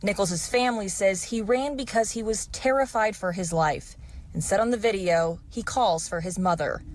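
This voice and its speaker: A woman's voice: medium pitch, moderate pace, darkish tone. A woman delivering a scripted news report as a television reporter.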